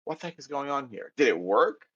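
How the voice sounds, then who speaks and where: A man's voice: medium pitch, sing-song, a little fast, fairly bright tone, husky, muffled recording. A middle-aged man with an American accent, giving a chatty live coding lecture and thinking aloud as he types. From United States. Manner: chatty, self-deprecating, thinks aloud while typing. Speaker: programming instructor.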